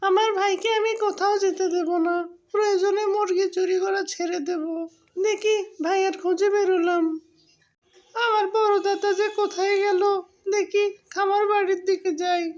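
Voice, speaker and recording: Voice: very high voice, level tone, normal speed. A performer voicing a young fox, a pleading, whiny chicken thief, in a cartoon. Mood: determined, worried. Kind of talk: cartoon voice acting.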